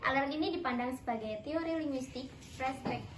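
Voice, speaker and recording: A young woman from Yogyakarta, presenting a class lesson as a student, reading in a calm, careful way. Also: high-pitched, fairly quick, echoey room, far from the mic, slight background noise. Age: young adult. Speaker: student narrator.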